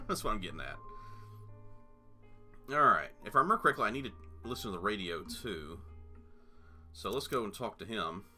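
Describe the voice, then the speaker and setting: A man's voice: lowish voice, very animated, moderate pace, pauses often, pushed and bright, soft background music. A man hosting a let's play, talking in a rambling, chatty way full of fillers and asides. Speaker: gaming channel host.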